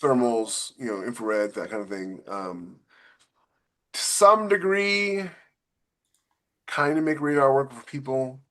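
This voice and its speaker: A man's voice: medium pitch, slow pace, pauses often, a bit bright. A man co-hosting a podcast, chatting casually and explaining things in a loose, rambling way. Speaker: podcast co-host, science fiction author. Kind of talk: casual panel chat.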